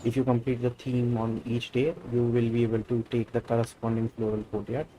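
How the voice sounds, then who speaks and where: A man's voice: medium pitch, monotone, brisk, dark tone, slight background noise, lightly acted, poor audio. A man voicing a polite, gracious woman florist from a video game, formal and explanatory. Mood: patient, explanatory. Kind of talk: reading video game dialogue aloud. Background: game music.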